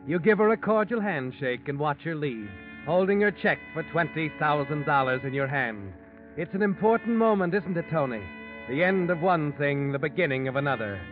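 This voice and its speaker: An actor playing a mysterious, knowing storyteller in 1940s radio drama, addressing the schemer in an insinuating, ominous way. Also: male voice, fairly high pitch, close to an everyday voice. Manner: insinuating, second-person taunting. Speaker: narrator of a mystery anthology. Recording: tinny phone-like vintage radio, music behind. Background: music.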